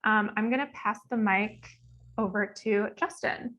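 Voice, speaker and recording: A woman's voice: medium pitch, steady tone, a little slow, a bit bright, lo-fi sound. A woman speaking as moderator of an online event, informally and a little hesitantly introducing it and its guests. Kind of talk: informal event introduction, partly reading bios. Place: online video call.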